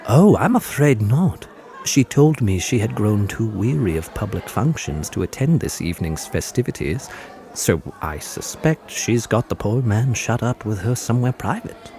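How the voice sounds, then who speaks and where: An actor playing a drunk, boisterous, lecherous baron in an audiobook, creaky, booming and slurring his drawled words. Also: expressive, normal speed, mellow, a little hiss or hum. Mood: tipsy, gleeful. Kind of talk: full-cast audiobook acting.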